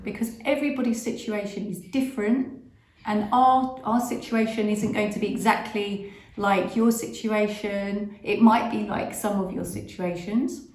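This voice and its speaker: A woman's voice: medium pitch, darkish tone. A middle-aged British-born woman whose first language is English, co-hosting a casual chat vlog, rambling with restarts. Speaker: vlog co-host. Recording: echoey room.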